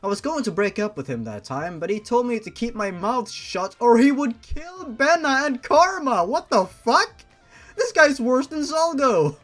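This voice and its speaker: A man's voice: extremely high pitch, big pitch swings, a little slow, a bit bright. A young man reading a fan-fiction story aloud, breaking off for sarcastic, profane commentary. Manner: sarcastic, swears freely, breaks off to mock the text.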